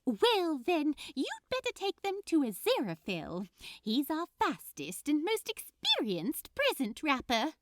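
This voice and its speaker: A woman acts out a storybook Christmas elf volunteer, a woman of endless good cheer. Her voice is very high and sing-song, swooping brightly with perky customer-service enthusiasm. Each phrase is pushed out with bubbly eagerness. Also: wildly swooping pitch, unhurried, dry recording, studio quality, heavily acted. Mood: bright, helpful.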